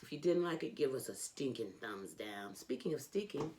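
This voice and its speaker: A woman reading a children's storybook aloud as host, with theatrical, emphatic delivery and drawn-out phrases. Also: rumbling low, lively intonation, a little mellow.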